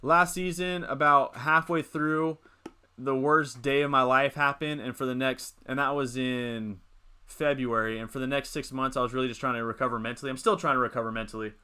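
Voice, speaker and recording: Bright-voiced young man chatting on stream. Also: mid-range voice, steady tone, fairly quick, clear, resonant tone. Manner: rambling, reads chat and answers it. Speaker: livestream host. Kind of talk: casual live stream chat.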